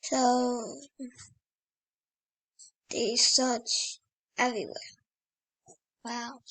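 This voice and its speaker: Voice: mid-range voice, little pitch movement, very slow, a bit bright. A child hosting a read-aloud, reading a picture book and breaking off to exclaim over the pictures with wonder.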